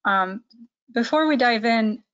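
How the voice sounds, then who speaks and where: A woman's voice: a little high, expressive, takes it slowly, a bit bright. A young woman presenting a policy report on a webinar, hesitant, with many fillers and restarts. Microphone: video call. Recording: dull lo-fi video call audio.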